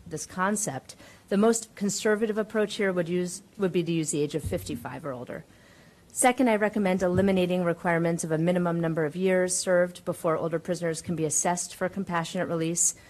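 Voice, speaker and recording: A woman testifying as a medical expert at a public hearing, delivering prepared remarks in a measured, precise way. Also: lowish voice, darkish tone. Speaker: expert witness, professor of medicine. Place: hearing room.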